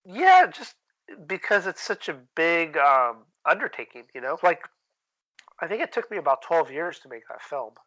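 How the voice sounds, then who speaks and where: A man talking casually as an interview guest, candid and laid-back, with plenty of slang, on low-quality audio. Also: sing-song, moderate pace, pushed and bright. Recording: dull lo-fi call-quality audio.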